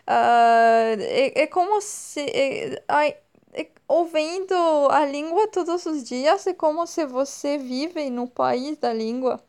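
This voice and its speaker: A woman in her twenties from France with a French accent, speaking slowly and hesitantly as an interview guest, often pausing to search for words. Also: high voice, darkish tone. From northern France. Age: 20s. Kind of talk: slow, careful speech with many pauses and fillers.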